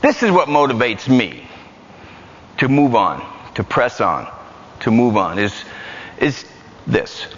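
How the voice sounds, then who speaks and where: A man with a New York accent preaching a lively, joking sermon in a church. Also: medium pitch, slow pace, long pauses, background noise. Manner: animated, joking, repetitive. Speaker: guest preacher. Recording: muffled church hall recording.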